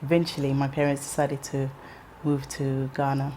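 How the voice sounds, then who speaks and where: A woman in her thirties, raised in England, tells her story in a low, steady voice. She speaks reflectively, pausing with ums and restarting phrases. A faint hiss of noise sits behind the recording. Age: 30s. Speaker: interviewee. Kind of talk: personal life story interview.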